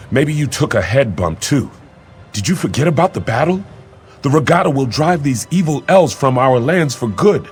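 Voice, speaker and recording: An actor playing an eager, loyal young warrior prince in a video game, speaking in formal, earnest phrases. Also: male voice, fairly low pitch, close to an everyday voice. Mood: teasing, concerned, then fierce, resolute. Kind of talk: video game character acting.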